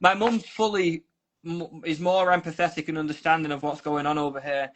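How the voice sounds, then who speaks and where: A man with a Manchester accent talks loosely over a video call. He rambles, doubles back on his words and wanders into asides. His voice sits a little high for a man. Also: steady tone, fairly quick, a bit bright. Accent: Manchester English. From South Manchester, England. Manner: rambling, with frequent restarts and asides. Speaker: volunteer guest. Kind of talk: casual interview chat. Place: Ukraine.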